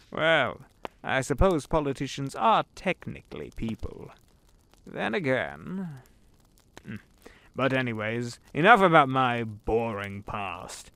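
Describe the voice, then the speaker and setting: A man voicing the Devil as a sly, smooth-talking businessman in an audio drama, drawling and knowing. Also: medium pitch, big pitch swings, slow, pauses often, bright, forceful tone, exaggerated voice. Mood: amused. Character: the Devil posing as suave rich businessman, middle-aged man. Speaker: voice actor. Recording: with background music.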